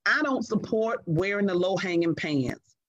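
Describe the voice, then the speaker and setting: A middle-aged woman talking in an earnest, measured way, leaning on her key words. Her voice sits at an ordinary pitch for a woman. The sound is dry and a little dull, with the top end rolled off. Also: lively intonation, no room sound. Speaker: guest. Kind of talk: reflective conversation.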